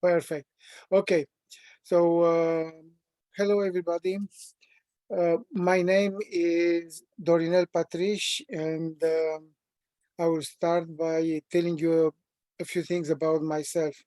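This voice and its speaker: A man in his sixties from Romania, with a Romanian accent, presenting a training webinar at a measured, deliberate pace with frequent pauses. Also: on the high side, flat delivery, dark tone, dry recording. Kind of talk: prepared self-introduction in a training webinar.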